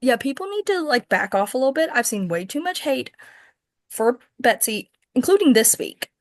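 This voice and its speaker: A woman's voice: high voice, very animated, normal speed, dry recording, studio quality. A woman hosting a podcast in casual conversation. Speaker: podcast host. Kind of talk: casual chat.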